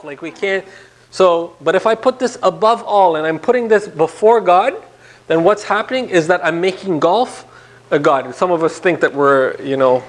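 Male priest, thirties, high voice, conversational interactive lecture in a small room, rhetorical questions, animated delivery. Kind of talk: interactive church talk to a small group. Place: small indoor meeting room.

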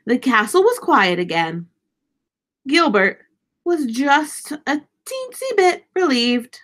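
Animated, bright-voiced woman reading aloud. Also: sits high, slow. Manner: animated, dramatic emphasis for young listeners. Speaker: teacher reading a picture book aloud. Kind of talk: expressive children's storybook narration. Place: small indoor room, seated.

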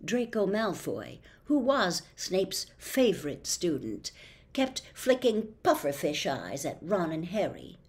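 A woman in her sixties reading a children's novel aloud with theatrical, expressive, deliberate phrasing, recorded at home. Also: fairly low pitch. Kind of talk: dramatic audiobook-style narration of a children's novel. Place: small bedroom at home.

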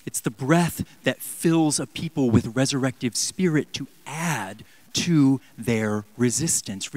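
A man in his thirties preaching a sermon in a church on a handheld mic, impassioned, swinging from loud emphatic peaks down to near-whispers. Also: a little high, no room sound. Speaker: pastor. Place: large church sanctuary.

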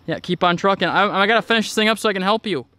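Male vlogger, clean smooth voice, informal off-the-cuff walkaround delivery recorded outdoors. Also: sits high, very fast, sharp tone. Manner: rambling, informal. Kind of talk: casual walkaround narration. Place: outdoors in a driveway beside a truck.

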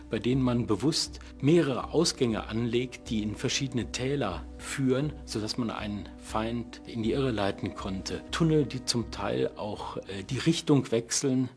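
A man explaining as an expert in an interview, with academic phrasing and pauses. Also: mid-range voice.

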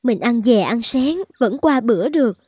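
A woman's voice: fairly high pitch, lively intonation, moderate pace, a little mellow, husky, dry recording, clean studio sound. A woman voicing a devoted, uncomplaining poor peasant wife and mother in a folk-tale cartoon. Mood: gentle. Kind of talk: cartoon dubbing.

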